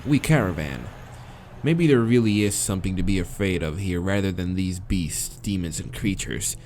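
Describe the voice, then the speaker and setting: A man's voice: deep voice, lively intonation, normal speed, a little mellow, slight background noise. A man narrating a visual novel aloud as a let's-play host, casual and crude, sometimes stumbling over his words. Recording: music behind the voice. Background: game music.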